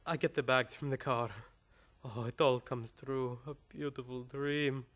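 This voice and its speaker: An actor playing a meek, dreamy doctor, a crook's fawning accomplice, in a radio play. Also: male voice, medium pitch, fairly even intonation, measured pace, a bit bright, close to an everyday voice. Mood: dreamily delighted. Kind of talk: stage-style radio play acting.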